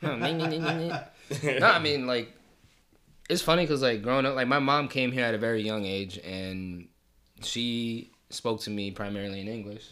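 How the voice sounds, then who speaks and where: A young man co-hosting a casual chat in a laid-back, slangy way with quick asides. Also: mid-range voice, fairly even intonation, pushed and bright. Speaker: podcast co-host.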